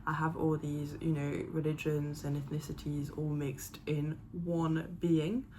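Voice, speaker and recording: A woman's voice: deep voice, flat delivery, a little slow, dark tone, a little hiss or hum, rough recording. A young woman whose first language is French, chatting casually on her vlog with lots of fillers and restarts. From born in Zimbabwe, raised partly in Paris. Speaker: vlogger. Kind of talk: casual chat.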